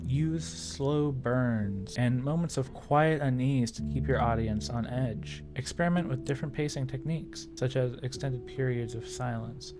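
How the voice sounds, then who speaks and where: A man hosting a video, narrating scripted tips in a tutorial style. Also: medium pitch. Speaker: video host giving tips.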